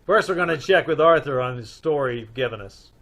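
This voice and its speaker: A man playing a brisk, in-charge detective in an old-time radio comedy mystery, questioning in a businesslike way. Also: mid-range voice, animated, a bit bright. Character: brisk, in-charge male detective, newlywed poser.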